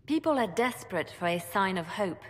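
An actress playing a poised female ambassador who speaks in a gracious, measured, formal way. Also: mid-range voice, lively intonation, long reverb tail, natural voice. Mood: composed. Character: poised female court ambassador, polite and diplomatic.

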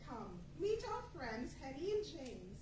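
A woman performs a welcoming young wife in a live radio play. Her polite, theatrical voice is very high and animated. It comes from a distance in a roomy hall. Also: unhurried. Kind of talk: 1940s radio play acting before an audience. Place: large indoor meeting room, live stage reading. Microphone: far camera. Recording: echoey meeting room from far off, noisy.

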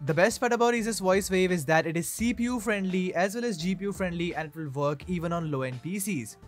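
A man's voice: high-pitched, fast. A man hosting a tech review, reading scripted tutorial narration. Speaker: tech reviewer, channel host. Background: background music.

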